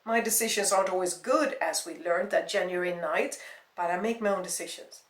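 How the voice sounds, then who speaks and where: A young woman played by a female reader, hurt and cold, standing her ground in a storybook scene. She speaks at a middling pitch with firm defiance. The room echoes around her. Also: brisk, far from the mic, natural voice. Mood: angry, defiant. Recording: roomy, echoing voice recording.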